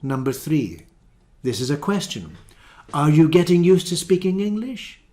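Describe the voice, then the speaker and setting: Male ESOL teacher, slow deliberate delivery, patient step-by-step explanations. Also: a little high, big pitch swings, mellow. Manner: careful, repeats and rephrases each example. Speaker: English teacher. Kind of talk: slow explanatory grammar lesson.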